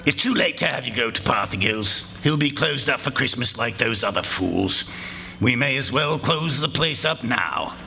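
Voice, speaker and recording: A man playing a miserly, irritable old businessman, gruff and scornful, in live radio theatre. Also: mid-range voice, animated, moderate pace, bright, forceful tone, exaggerated voice. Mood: grudging, irritable. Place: stage before a live audience. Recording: live stage recording, faint background noise.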